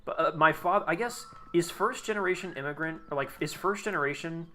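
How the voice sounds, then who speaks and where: A man's voice: fairly high pitch, a little fast, bright, forceful tone, a little hiss or hum. Rambling young American man, casual chat. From United States. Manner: rambling, loose, lots of fillers and restarts. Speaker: streamer. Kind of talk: casual chat while gaming.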